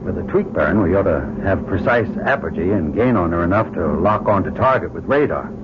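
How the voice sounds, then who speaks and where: A man's voice: sits low, expressive, fairly quick. An actor playing an earnest, questioning male astronaut commander in an old-time radio drama. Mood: resolute. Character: earnest male astronaut commander, self-doubting but responsible. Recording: dull noisy old radio recording.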